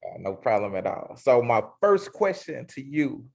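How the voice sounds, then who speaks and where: A man's voice: mid-range voice, big pitch swings, normal speed, creaky, a little mellow. A man hosting a casual interview, talking in a loose, slangy, hyped-up way. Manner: hype, slangy self-introduction. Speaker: interview host.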